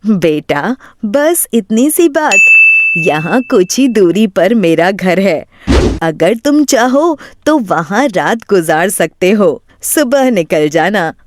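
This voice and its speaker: Sly, slow-talking woman swindler, as a storyteller plays her. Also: mid-range voice, big pitch swings, very noisy. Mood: coaxing. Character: sly thieving woman feigning motherly kindness, secretly murderous.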